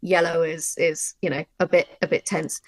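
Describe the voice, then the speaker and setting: A woman presenting an informal writing workshop, talking through her method in an animated way and thinking aloud. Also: sits low, moderate pace, dry recording. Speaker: audio drama writer and workshop presenter.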